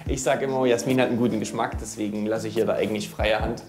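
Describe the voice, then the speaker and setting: A 27-year-old man speaking calmly and agreeably in a casual documentary chat. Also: lowish voice, over music. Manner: calm, measured and agreeable. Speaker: reality documentary participant.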